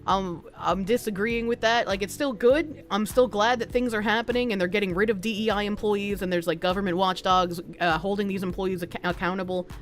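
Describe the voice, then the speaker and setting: A woman's voice: medium pitch, fairly even intonation, fairly quick, few pauses, faint music behind. Frank Boston-accented woman streamer. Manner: rambling, frank, profane, with frequent restarts. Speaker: livestreamer. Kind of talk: casual live-stream chat reading donations.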